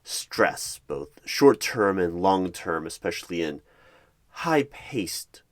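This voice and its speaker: A man in an ASMR roleplay as a hiring manager, asking interview questions in a measured, deadpan manner. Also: sits low, no echo, clean studio sound. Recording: clear background noise with keyboard typing. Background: computer keyboard typing and clicks.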